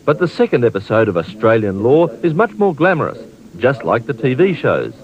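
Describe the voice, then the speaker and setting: A man narrating a TV news report with a lively, emphatic reading. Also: mid-range voice, brisk. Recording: muffled old TV broadcast.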